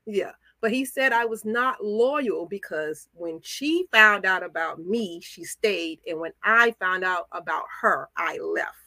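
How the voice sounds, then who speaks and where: A woman telling stories candidly and casually as a podcast guest, acting out the dialogue she quotes in an animated way. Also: mid-range voice, a little slow, few pauses, no echo. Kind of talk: casual, candid storytelling in conversation.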